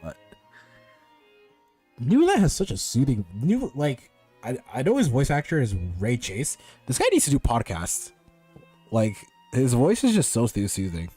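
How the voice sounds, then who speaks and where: A man's voice: mid-range voice, mellow, breathy edge. A man streaming a game with casual, slangy commentary and plenty of swearing. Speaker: gaming streamer.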